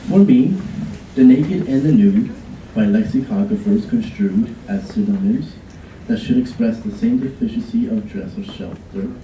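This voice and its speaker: Man, poetry recital, deliberate, very slow, dark mellow voice, echoey room, distant mic. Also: mid-range voice, creaky, highs cut off, a little hiss or hum. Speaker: student contestant. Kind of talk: dramatic poetry reading. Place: college event room. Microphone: podium mic.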